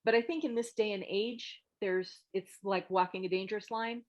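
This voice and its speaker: A woman hosting an online group critique, giving frank, thoughtful script feedback in a halting, casual way over a video call. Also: medium pitch, steady tone, dull audio. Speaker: host of a table read meet-up giving script feedback. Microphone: video call microphone.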